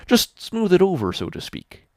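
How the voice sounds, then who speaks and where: A man voicing a shy, awkward older farmer who speaks in a hushed, halting, coaxing way. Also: high-pitched, huge pitch swings, no echo, clean studio sound, voice pushed up, heavily acted.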